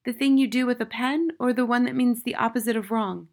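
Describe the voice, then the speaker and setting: A woman reading a storybook, voicing a brave, bright little schoolboy who answers back politely. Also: a little high, fairly even intonation, fairly quick, pitched above the performer's own voice, natural voice. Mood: innocent explaining.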